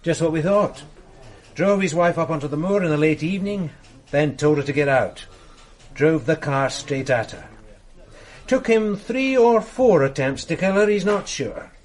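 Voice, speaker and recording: A man's voice: a little high, expressive, normal speed, close to an everyday voice. A man playing a weary, good-natured sergeant. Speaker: police detective sergeant. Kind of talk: radio drama acting.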